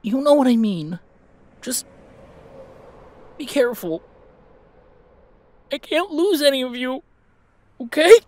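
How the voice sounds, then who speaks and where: A man puts on a young male werewolf, eager and anxious. The voice is pitched high and lively, insistent and pleading, in audio drama style. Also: slow pace, long pauses, dry recording, heavily acted. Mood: worried, reluctant.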